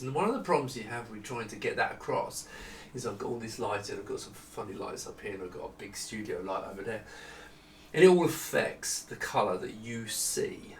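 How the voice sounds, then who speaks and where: A middle-aged man giving a casual, unscripted product walkthrough in a halting, conversational manner. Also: on the low side, a bit bright. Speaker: product designer presenting his monitors. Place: small home office indoors with studio lighting. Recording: echoey room, distant mic, faint noise.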